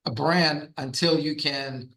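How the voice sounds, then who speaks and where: A man's voice: on the high side, fairly even intonation, slow pace, pushed and bright, low-quality recording. A middle-aged man with a Russian accent, a guest on an interview podcast, talking at length with many fillers and restarts. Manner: storytelling, frequent fillers and restarts. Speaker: guest, trademark firm founder.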